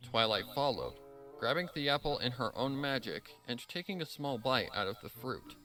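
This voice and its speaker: Bright-voiced man narrating a story. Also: medium pitch, animated, normal speed. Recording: music under the voice.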